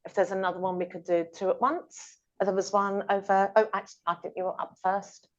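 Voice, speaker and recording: A woman's voice: medium pitch, flat delivery, a little fast. A woman chairing a panel seminar, moderating audience questions with effusive praise. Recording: slightly dull conference audio.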